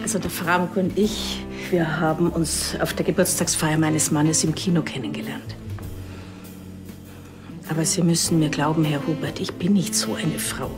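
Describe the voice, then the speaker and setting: A woman's voice: deep voice, fairly even intonation, moderate pace, music in the background, natural voice. An actress playing a defensive married woman in a television crime comedy.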